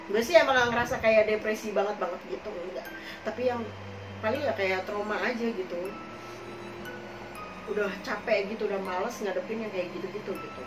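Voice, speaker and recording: Hoarse young woman chatting from across an echoey room. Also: medium pitch, brisk, music in the background. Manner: rambling, full of fillers, chatty and frank. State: hoarse, coughing. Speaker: podcast co-host telling her own experiences. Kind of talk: casual chat in Jakarta slang. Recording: echoey room, far from the mic, noisy.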